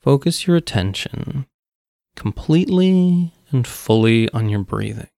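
Slow, creaky man guiding meditation. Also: mid-range voice, no echo, studio quality. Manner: calm, measured, long pauses between phrases. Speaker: guided meditation coach. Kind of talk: guided meditation, slow soothing instruction.

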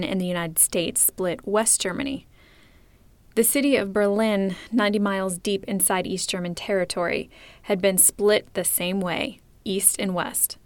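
A woman hosting a podcast, reading scripted documentary narration in a clear, measured storytelling manner. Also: medium pitch, no echo. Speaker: podcast host.

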